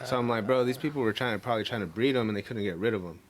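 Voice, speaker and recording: A man's voice: lowish voice, rapid-fire. A man co-hosting a podcast, talking earnestly in casual slang and tacking "bro" onto his sentences. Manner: heartfelt, repeats "bro" and "you know what I mean". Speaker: podcast co-host. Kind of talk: casual chat.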